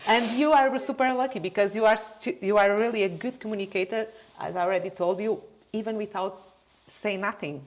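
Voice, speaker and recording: A woman's voice: mid-range voice, a little fast, low-quality recording. A woman hosting an interview in English, which is not her first language, speaking enthusiastically with small grammar slips. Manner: warm, enthusiastic, non-native grammar slips. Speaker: podcast host. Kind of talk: casual interview.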